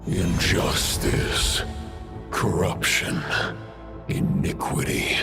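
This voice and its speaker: Very deep, gravelly ancient foe. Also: male voice, flat delivery, music in the background, exaggerated voice. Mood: scornful, accusing. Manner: slow, solemn, portentous. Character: ancient menacing male foe, scornful and grave. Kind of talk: dramatic video game acting.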